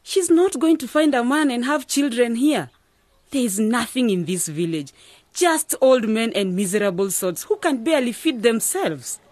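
An actress playing a worried, pushy village aunt in a radio drama, insistent and scolding. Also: female voice, on the high side, animated, normal speed, darkish tone, dry recording. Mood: complaining.

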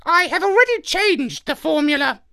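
A man voicing a clever, sharp-tongued male parrot who hacks computers, in a squawky, condescending put-on voice. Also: very high voice, sing-song, moderate pace, gravelly, voice pushed up, full character voice. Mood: smug. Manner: smug, condescending.

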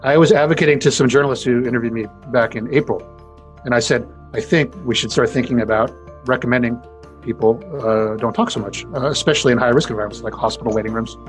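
A man with a deep voice, a scientist explaining his research in an interview in a casual way, with many fillers and restarts. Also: fairly even intonation, fast, soft background music.

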